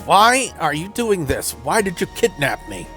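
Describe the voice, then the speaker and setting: A man's voice: a little high, big pitch swings, unhurried, a bit bright, soft background music. A man playing an anxious, helpless young male captive in a visual novel, pleading and questioning. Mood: confused, pleading.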